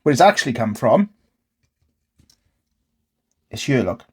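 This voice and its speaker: A man's voice: medium pitch, big pitch swings, a little slow, darkish tone, husky. A man talking casually to himself in short, tentative phrases as he narrates hands-on repair work. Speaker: host of a DIY repair channel. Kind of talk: casual hands-on repair commentary, thinking aloud.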